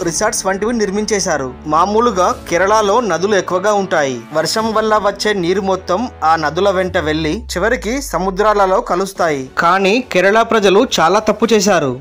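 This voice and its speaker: A man with a distinctly high voice narrates a facts video. He reads from a script in an explainer style, with soft music playing underneath.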